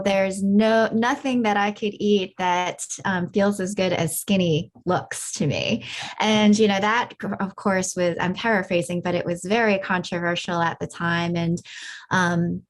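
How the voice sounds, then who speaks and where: Woman guest in her forties, mid-pitched, reflective casual chat with frequent restarts. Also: steady tone, a little slow. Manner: reflective, many fillers and restarts. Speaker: podcast guest, beauty industry veteran. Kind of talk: casual interview conversation.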